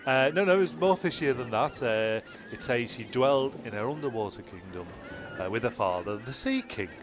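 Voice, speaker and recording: A man's voice: mid-range voice, expressive, normal speed, background noise, natural voice. A man playing a cheeky, dim castaway who boasts and rambles in an ad-libbed comedy audio sketch. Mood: rambling. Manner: rambling, ad-libbing. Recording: music behind, blown-out homemade audio.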